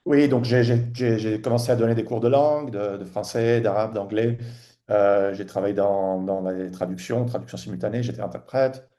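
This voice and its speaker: A man in his forties from Tunisia, a guest on a podcast, talking casually in a halting way with many restarts and fillers. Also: on the low side, steady tone, warm tone. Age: 40s. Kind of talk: casual interview chat.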